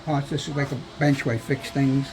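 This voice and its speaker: A man's voice: medium pitch, flat delivery, a little fast, darkish tone. An older man, a radio hobbyist and tinkerer, casually explaining his gear as he demonstrates it in a home workshop. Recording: noisy home-video sound.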